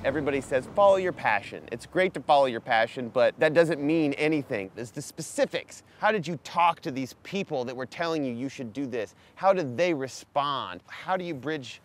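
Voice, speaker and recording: A man's voice: medium pitch, lively intonation, a little hiss or hum. A young man talking earnestly and casually about questions for the people he meets. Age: young adult. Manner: earnest, eager, quick questions. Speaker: roadtripper. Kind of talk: casual reflective chat. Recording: music bed under voice.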